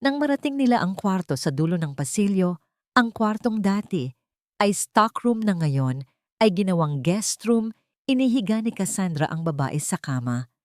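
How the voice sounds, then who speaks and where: A woman's voice: mid-range voice, very animated, normal speed, darkish tone, no room sound, studio quality. A woman reading a scripted, dramatic story as its narrator.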